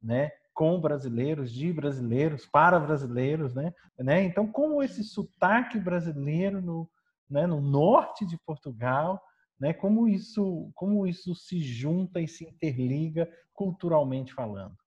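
A male interviewer speaks in a fairly high register for a man. He circles around his questions conversationally, adding frequent tags. The recording is dull and lo-fi. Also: expressive, measured pace, a little mellow. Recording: dull, muffled lo-fi audio.

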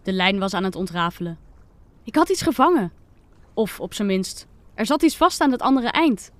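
A woman reading a scripted first-person children's story with expressive, dramatic delivery. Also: mid-range voice, moderate pace, no room sound. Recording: music under the voice.

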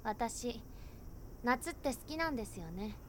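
A woman's voice: a little high, lively intonation, unhurried, a little hiss or hum. A woman playing a frank, teasing schoolgirl. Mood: candid, teasing. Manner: candid, gently teasing. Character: frank, cheerful teenage schoolgirl. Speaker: student. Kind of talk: voice drama acting.

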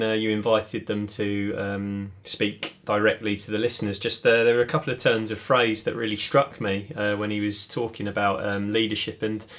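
A man, talking things over in a relaxed podcast conversation. He thinks aloud as he goes, pausing on fillers and restarting his sentences. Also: fairly low pitch. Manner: thoughtful, many fillers and restarts. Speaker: podcast co-host. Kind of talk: casual reflective chat.

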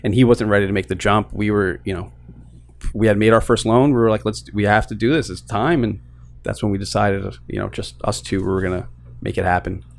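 Easygoing man from Queens, low voice. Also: fairly even intonation, brisk, gravelly. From Queens, New York. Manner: easygoing, self-deprecating, frequent restarts. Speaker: guest, lending business co-founder. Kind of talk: casual interview chat.

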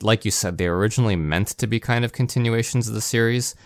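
A man's voice: fairly low pitch, fast, no echo, clean studio sound. A man co-hosting a podcast, giving his opinions on video games in casual conversation. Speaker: podcast co-host. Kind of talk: casual video game discussion.

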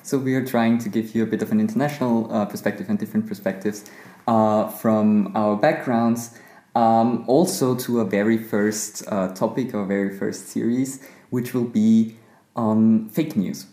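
A man's voice: lowish voice, fairly even intonation, normal speed, warm tone. A man from Austria co-hosting a law podcast, speaking in a hesitant way with many fillers. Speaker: podcast co-host, law researcher. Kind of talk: conversational podcast talk. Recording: echoey room.

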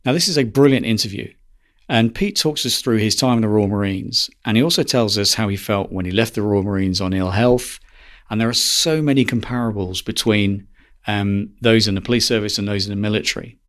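A man's voice: lowish voice, a little fast, a bit bright. A man hosting a podcast interview, polite and friendly with a few fillers. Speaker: podcast host.

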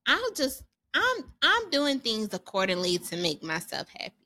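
A woman in her thirties co-hosting a podcast, talking candidly and casually, slowing down when she reflects. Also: on the high side, wildly swooping pitch, pushed and bright. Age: 30s. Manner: candid, chatty, slows down to reflect. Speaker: podcast co-host. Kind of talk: casual chat.

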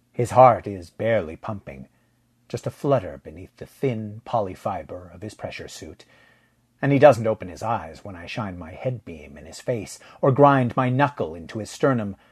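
Low, husky male story narrator. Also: darkish tone. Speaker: audiobook narrator. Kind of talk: scripted first-person fiction narration.

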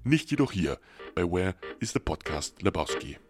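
A man reading a scripted, deadpan comic film synopsis full of crude jokes as a podcast co-host. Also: sits low, normal speed, over music. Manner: deadpan crude parody narration.

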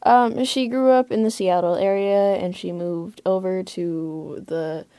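A woman's voice: on the low side, steady tone, a little slow, warm tone, no room sound. A woman giving a casual interview as a voice actor, hesitant and piecing their thoughts together while talking. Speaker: voice actor being interviewed about their role.

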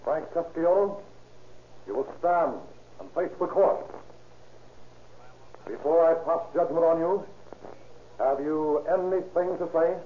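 A man playing a stern, formal judge, speaking gravely and deliberately in a 1930s radio crime drama. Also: fairly high pitch. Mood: solemn. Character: solemn, stern male judge passing sentence. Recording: tinny, echoey, faintly noisy old radio recording.

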